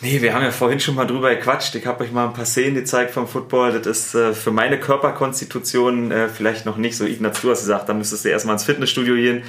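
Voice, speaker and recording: A man with a Berlin accent, a sports TV presenter and editor, answering interview questions in a relaxed, chatty way with many fillers. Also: mid-range voice, fast, hardly any pauses, reverberant. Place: studio.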